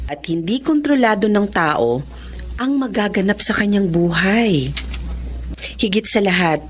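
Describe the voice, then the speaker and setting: Radio drama lead: caring psychologist and mother, soothing, animated intonation, code-switches into English. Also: medium pitch, a little slow, husky. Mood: gentle, reassuring. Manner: soothing, mixes English into Tagalog. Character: caring female psychologist, wife and mother, calm and reassuring. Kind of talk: Tagalog radio drama acting.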